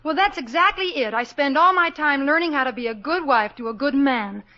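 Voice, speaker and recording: A restless young heroine. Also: female voice, high-pitched, animated, sharp tone. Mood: complaining. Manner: quick wry complaints, sings in the show. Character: spirited young woman heroine, restless and wry. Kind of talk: 1940s radio musical acting. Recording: lo-fi 1940s radio broadcast with orchestra.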